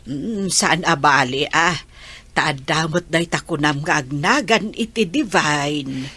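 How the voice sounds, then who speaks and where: An elderly grandmother, played by a woman in a radio drama, talking slowly and patiently. Her voice sits low and husky, with a gentle, caring manner. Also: heavily acted. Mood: fond. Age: older. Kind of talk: Ilocano radio drama acting.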